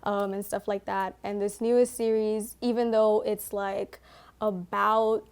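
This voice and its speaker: A woman's voice: mid-range voice, little pitch movement, measured pace, smooth. Chatty young woman from Trinidad. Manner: chatty, full of ums and likes. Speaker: painter, guest. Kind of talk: casual interview answers.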